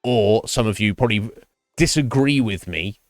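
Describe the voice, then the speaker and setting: A man streaming live and chatting with viewers, thinking his answers through in a halting, thoughtful way. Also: on the low side, no room sound, clean studio sound. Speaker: Twitch streamer.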